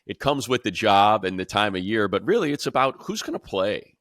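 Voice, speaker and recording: A man's voice: lowish voice, very animated, a little fast, a bit bright, dry recording, studio quality. An American man hosting a casual sports panel, talking informally and throwing out questions. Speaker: sports show host and play-by-play announcer.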